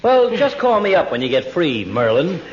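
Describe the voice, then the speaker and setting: A wry, needling radio doctor. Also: male voice, a little high, big pitch swings, normal speed. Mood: breezy, smug. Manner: dry, taunting, articulate insults. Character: wry, needling family doctor, friend of the couple. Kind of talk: 1940s radio comedy acting. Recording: muffled, noisy vintage radio recording.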